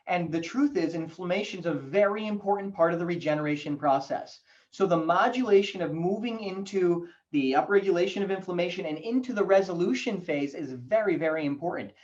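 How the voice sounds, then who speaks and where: A man giving a fluent, technical explanation as an expert guest on a webinar. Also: high voice. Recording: dull lo-fi audio.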